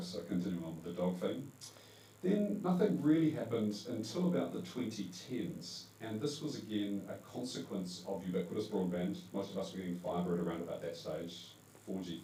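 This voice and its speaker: A man's voice: sits low, fairly quick, dark tone. A man with a New Zealand accent giving a conversational presentation to a live audience at an event, correcting himself now and then with fillers. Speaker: conference panel speaker. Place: event hall. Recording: echoey hall, distant mic, faint hum.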